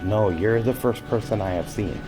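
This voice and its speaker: A man playing a hesitant, uneasy new homeowner in a fiction podcast, talking in a halting, casual way. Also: on the low side, music in the background, lightly acted, low-quality recording. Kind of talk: naturalistic fiction podcast acting.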